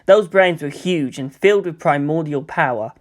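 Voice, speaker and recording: A man's voice: fairly high pitch, moderate pace. A man reading scripted fiction aloud as a storyteller, in the style of an audiobook narrator.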